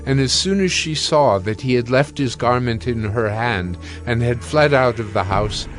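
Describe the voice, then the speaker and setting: A male narrator with a medium-pitched voice reads scripture. His delivery is solemn and measured, with music playing underneath.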